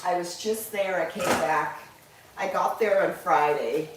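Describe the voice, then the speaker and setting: Slow-talking woman cooking live. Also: on the low side, reverberant, background noise. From Guyana. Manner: chatty, answers viewers' comments, laughs easily. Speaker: livestream cooking host. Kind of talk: casual livestream cooking chat.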